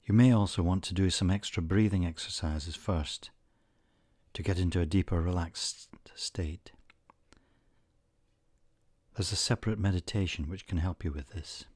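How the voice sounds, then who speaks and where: A man narrating a scripted guided meditation in a soothing, measured manner, leaving frequent pauses between short phrases. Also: low voice, a little mellow, no echo.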